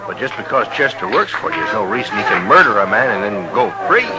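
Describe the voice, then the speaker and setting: Stubborn American townsman for a vintage radio western: accusing, blunt, lively swings in pitch. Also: fast talker, over loud music, low-quality recording. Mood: insistent, suspicious. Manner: blunt, insistent. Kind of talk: 1950s radio western acting. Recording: old radio drama recording.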